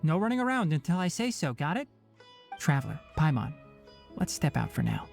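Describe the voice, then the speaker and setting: A voice actor playing a calm, brisk young male forest ranger and healer who is caring but firm. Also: fairly high pitch, gravelly, faint music behind. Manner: brisk, level-headed and caring. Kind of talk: video game voice acting.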